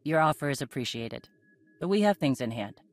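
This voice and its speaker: A woman's voice: rumbling low, animated, fairly quick, exaggerated voice. An actress playing a tough, dry-witted female Mandalorian leader in a video game, assured and wry. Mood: serious. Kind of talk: video game cutscene acting.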